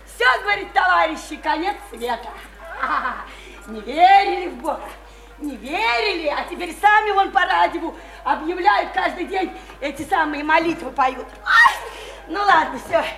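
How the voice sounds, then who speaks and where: An actress playing a brash, boozy, chattering widowed house painter, flirty and slangy, in a radio play. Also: female voice, extremely high pitch, lively intonation, moderate pace, creaky, pushed and bright, echoey room, heavily acted. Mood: chatty, excited. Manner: flirty, teasing, folksy and slangy. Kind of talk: theatrical radio play acting.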